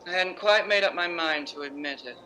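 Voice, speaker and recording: An imperious middle-aged stage star of a 1950s radio play, acted by a man. The voice is sharp, forceful and fairly high for a male. Every phrase is sardonic and theatrically stretched for bitter effect. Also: a little fast, soft background music, low-quality recording. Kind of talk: 1950s radio drama acting.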